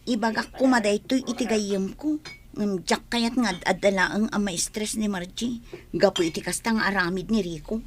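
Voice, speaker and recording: A woman's voice: medium pitch, moderate pace, slight background noise, lightly acted. A woman playing a loyal, meddling friend in a radio drama, confrontational and indignant. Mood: gossiping, concerned. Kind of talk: Ilocano radio drama acting.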